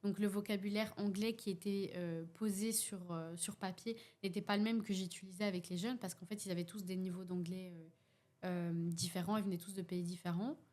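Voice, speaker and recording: A woman's voice: medium pitch, fairly even intonation, fairly quick, a little mellow. A young woman with a Belgian French accent in a casual podcast discussion, speaking hesitantly with many fillers and restarts. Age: young adult. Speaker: podcast participant, youth workshop facilitator.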